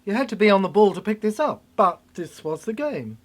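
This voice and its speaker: An older person delivering a rambling, cryptic monologue in halting fragments, repeating phrases for emphasis. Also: medium pitch, big pitch swings.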